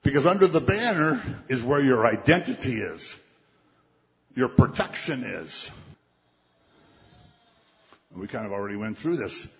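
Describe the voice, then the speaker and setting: A man's voice: medium pitch, sing-song, a little slow, gravelly, pauses often. Man preaching in an echoing hall. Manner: conversational preaching, quotes scripture, acts out voices. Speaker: pastor. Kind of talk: sermon. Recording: dull, muffled sound with long hall reverb.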